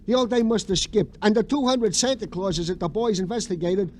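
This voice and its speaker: Actor as very high-pitched plaintive New cop. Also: male voice, fairly quick, a little mellow, natural voice. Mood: exasperated. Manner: plaintive, rambling, ungrammatical. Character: excitable, aggrieved, simple-hearted police sergeant. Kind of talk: 1940s-50s radio crime drama comic acting. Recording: vintage radio recording with noise.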